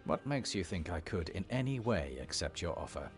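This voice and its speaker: Commanding, expressive fantasy hero. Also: male voice, low voice, moderate pace, loud music behind the voice. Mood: defiant. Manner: firm, serious, authoritative. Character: commanding male dragon-blooded emissary and elder, resolute hero. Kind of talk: dramatic video game acting.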